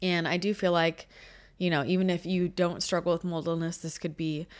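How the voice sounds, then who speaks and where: A woman's voice: on the low side, flat delivery, normal speed. A woman in her thirties hosting her own podcast, with a slightly scratchy voice, talking in a chatty, rambling way full of self-corrections. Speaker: podcast host, channel and energy healer. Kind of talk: casual solo podcast talk.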